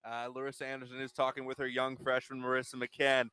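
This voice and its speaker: A man working as color commentator on a softball broadcast, giving casual analysis between pitches. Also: monotone, fast talker, clean tone, no echo.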